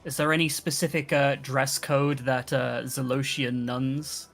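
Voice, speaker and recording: A man with a bright, keen-edged voice talks casually as a player in a tabletop game. He feels his way through ideas haltingly, over faint music. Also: medium pitch, level tone. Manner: hesitant, pieces ideas together aloud. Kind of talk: casual chat.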